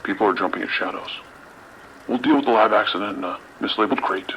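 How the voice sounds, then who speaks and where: A man playing a commanding, skeptical police lieutenant in an audio drama, brisk and authoritative. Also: fairly low pitch, highs cut off, lightly acted. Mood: dismissive. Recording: rain and radio noise behind.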